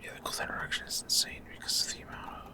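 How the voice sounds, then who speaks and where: Whispering man, casual ASMR gamer. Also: racing. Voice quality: breathy unvoiced whisper. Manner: casual, rambling, hesitant. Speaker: gaming ASMR creator. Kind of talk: whispered ASMR gameplay commentary.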